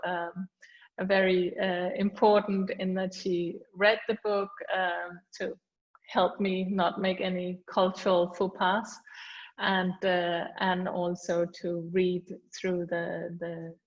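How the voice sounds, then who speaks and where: A woman's voice: fairly low pitch, little pitch movement, slow. A woman author with a Danish accent, reading from her book and then thanking people at an online launch, hesitant with many fillers. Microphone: video call. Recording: dull lo-fi video call audio.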